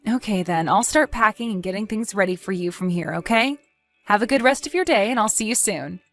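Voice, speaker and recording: A woman's voice: medium pitch, animated, brisk, fairly bright tone, soft background music. A woman playing a polite, reassuring but evasive wife in a scripted audio story, phrasing things neatly. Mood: pleasant. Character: evasive, cheerful wife chatting with her husband.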